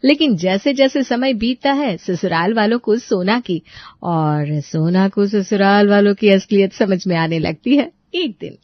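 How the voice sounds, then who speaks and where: A woman's voice: medium pitch, lively intonation, unhurried, dry recording, lo-fi sound. A woman narrating a scripted comic story, chatting to the listeners as she tells it.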